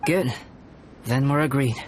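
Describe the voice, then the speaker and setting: An actor playing a calm, scholarly young male alchemist in a video game, speaking in a measured, formal, reflective way. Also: medium pitch, heavy background noise, lightly acted. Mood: calm, courteous. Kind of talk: video game character dialogue.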